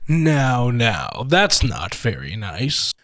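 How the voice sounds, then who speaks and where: An actor playing a gloating, sardonic killer who collects machines, with mocking, theatrically polite menace in an audio horror story. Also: male voice, mid-range voice, wildly swooping pitch, very slow, a bit bright, heavily acted. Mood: sarcastic.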